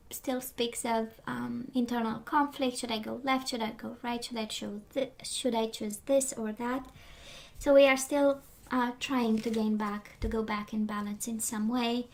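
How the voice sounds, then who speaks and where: Woman tarot reader, light high voice, going hoarse, rambly, slips into whispers. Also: steady tone, normal speed. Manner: rambling, many fillers, drops into whispers. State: losing her voice. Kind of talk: casual spoken tarot reading.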